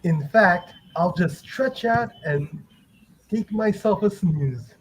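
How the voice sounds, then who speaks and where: Man playing a slow-talking, smug superhero. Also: high-pitched, expressive. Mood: smug, lazy. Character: smug, lazy, stretchy male superhero. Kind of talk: comic book dramatic reading. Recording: dull audio with faint noise.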